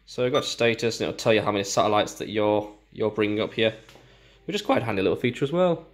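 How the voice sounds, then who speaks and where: A man giving a casual, hands-on product walkthrough, explaining informally and a bit haltingly as he demonstrates. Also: on the low side. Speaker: gear reviewer.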